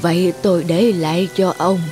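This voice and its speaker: A woman voicing a poor, humble old man who is a tea connoisseur in a cartoon folktale, speaking in a slow, courteous, old-fashioned way. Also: lowish voice, steady tone, music in the background, close to an everyday voice. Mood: humble polite. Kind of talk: dubbed cartoon folktale acting.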